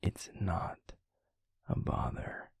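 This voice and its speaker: A man playing a tender, protective werewolf boyfriend in an intimate ASMR roleplay, speaking in a breathy, gravelly, soothing whisper. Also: no echo, studio quality. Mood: tender, reassuring. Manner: soothing, slow, gently coaxing. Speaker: audio roleplay voice actor.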